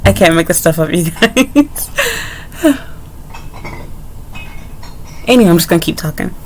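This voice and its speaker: A woman's voice: lowish voice, lively intonation, measured pace, a little mellow, a little hiss or hum. A young adult woman vlogger telling a personal story in a candid, rambling way, with frequent asides to her viewers. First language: English. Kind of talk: casual story-time monologue.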